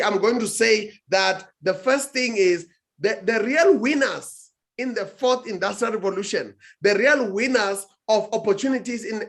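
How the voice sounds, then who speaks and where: A man's voice: high-pitched, fairly bright tone. A man, a guest speaker on a talk show, giving emphatic advice with frequent restarts and searching for words. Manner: emphatic, with many restarts and "what do you call it". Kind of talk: motivational talk-show answer.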